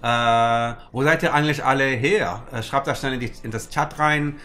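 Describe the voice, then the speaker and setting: A man in his forties hosting a livestream from a dark studio, speaking hesitant German full of fillers and restarts. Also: medium pitch, steady tone, normal speed. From United States. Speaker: host.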